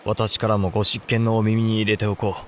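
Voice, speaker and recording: Measured samurai clan head, a man acting. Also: on the low side, little pitch movement, a little hiss or hum, lightly acted. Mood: grave. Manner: formal, grave, composed. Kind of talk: Japanese historical audio drama.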